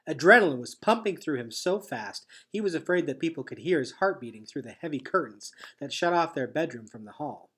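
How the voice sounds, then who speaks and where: Husky man reading a storybook aloud. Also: a little high, animated, fairly quick, few pauses, a bit bright. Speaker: teacher reading a chapter aloud. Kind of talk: read-aloud storybook narration.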